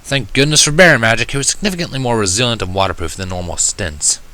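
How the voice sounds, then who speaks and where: A man's voice: medium pitch, fast talker, sharp tone. A man reading a first-person story aloud in a wry, conversational way. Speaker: audiobook reader of fan fiction.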